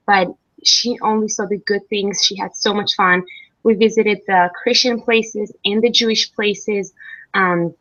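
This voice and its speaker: A woman's voice: mid-range voice, fairly bright tone. A young woman from Israel answering interview questions in a chatty way, with frequent ums. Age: young adult. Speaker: interview guest. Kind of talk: casual video-call interview. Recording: dull lo-fi sound.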